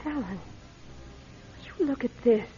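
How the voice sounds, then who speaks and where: A woman's voice: a little high, animated, takes it slowly, dark tone. An actress playing a determined, manipulative young woman in a classic radio drama, with an intense, insistent delivery. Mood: measured, intent. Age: young adult. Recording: muffled radio recording with noticeable noise.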